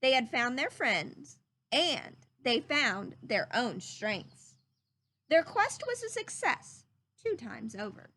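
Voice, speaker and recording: A woman reading a story aloud to children like a teacher, with expressive, dramatic emphasis. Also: on the high side, slow.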